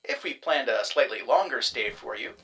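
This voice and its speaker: A man's voice: expressive, normal speed, gravelly, sharp tone. An actor playing a glib, self-satisfied man who kidnaps clients for a death-faking service, talking like a salesman. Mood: smug. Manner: glib salesman pitch, chatty and pleased with himself. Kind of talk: audio drama acting.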